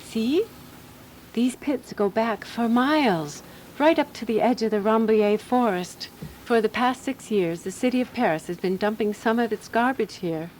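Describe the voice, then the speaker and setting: An actress playing a calm, practical woman detective in a radio drama, telling her case in a composed, deliberate way. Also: a little high, a little hiss or hum, natural voice, poor audio. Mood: explaining, confident. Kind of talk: radio drama dialogue and first-person narration.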